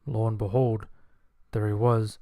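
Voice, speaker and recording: A man's voice: lowish voice, flat delivery, unhurried, gravelly, dark tone, dry recording, studio quality. A man reading a scripted first-person horror story as its narrator. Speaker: audiobook narrator. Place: voice-over with background music.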